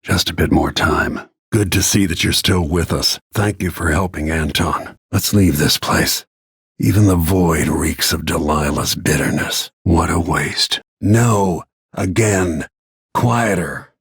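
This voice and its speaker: An actor playing a grim, vengeful middle-aged assassin in a video game, speaking tersely in a gravelly, husky voice. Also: male voice, no room sound, studio quality, exaggerated voice. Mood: grim, determined. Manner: low-key, grim, terse, deliberate.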